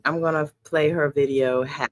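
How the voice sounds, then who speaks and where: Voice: medium pitch, fairly even intonation, moderate pace, no room sound. Upbeat online radio show host, dry sound. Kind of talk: casual livestream hosting. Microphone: streaming setup, computer mic.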